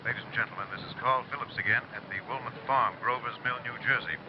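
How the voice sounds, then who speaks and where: A man's voice: mid-range voice, fast, natural voice. An actor playing an awestruck male field reporter giving 1930s radio commentary. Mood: awed, flustered. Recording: noisy lo-fi field broadcast.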